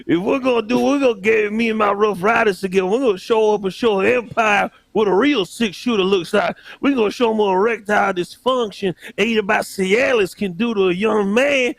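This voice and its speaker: A man's voice: high voice, brisk, vocal fry, hardly any pauses, dry recording. A man playing a folksy, rambling old cowboy with a Southern US accent and a theatrical manner. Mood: rambling, fervent.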